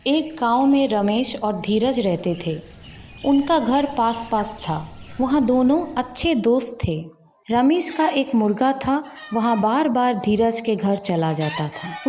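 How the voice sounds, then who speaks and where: A woman narrates a light folk tale from a script. Her voice is fairly high, with a mellow, dark-edged softness. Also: a little hiss or hum. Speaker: story narrator.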